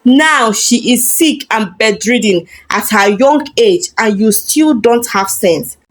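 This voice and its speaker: A woman's voice: fairly high pitch, expressive, takes it slowly. A woman voicing a blunt male friend in a story, lecturing reproachfully. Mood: scolding. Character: blunt, scolding man, loyal friend to a wronged woman.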